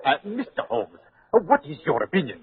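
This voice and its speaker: An actor playing a suave, courteous count with a formal, ceremonious manner in 1940s radio style. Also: male voice, a little high, huge pitch swings, a little fast. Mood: courteous, curious. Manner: polished, ceremonious. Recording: tinny 1940s radio recording.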